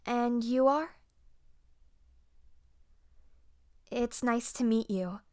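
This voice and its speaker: A woman playing a wounded young Jedi survivor in an audio roleplay, gentle and haunted, with a soft, hesitant delivery. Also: a little high, a little slow, no room sound, clean studio sound, lightly acted. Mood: dazed, grateful. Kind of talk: dramatic first-person audio roleplay.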